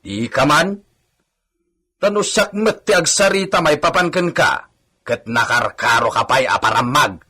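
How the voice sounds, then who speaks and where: A man's voice: a little high, expressive, measured pace, bright, forceful tone, breathy edge, full character voice. An Ilocano-accented man acting a boastful, touchy farmhand in a radio comedy, bickering with exclamations. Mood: indignant, scornful. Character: boastful, touchy male hacienda worker. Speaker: actor in a radio comedy drama.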